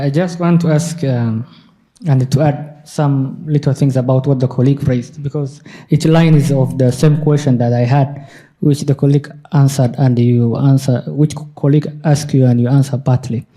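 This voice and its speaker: A man from Somalia in the audience, speaking haltingly with many fillers and restarts during a lecture's question time. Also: mid-range voice, dark tone, poor audio. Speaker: audience member asking a question. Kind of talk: lecture Q&A comment.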